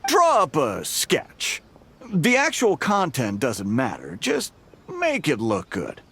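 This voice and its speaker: A man playing a demanding, condescending office boss in an animated game cutscene, breezy and wheedling. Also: fairly high pitch, slow, husky, heavily acted. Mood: breezy, bossy. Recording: music behind the voice.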